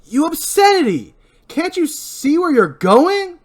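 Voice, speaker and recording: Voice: medium pitch, expressive, slow pace, a little mellow, pitched above the performer's own voice. A podcast reader playing an angry, drunk young man in a hammy amateur script reading.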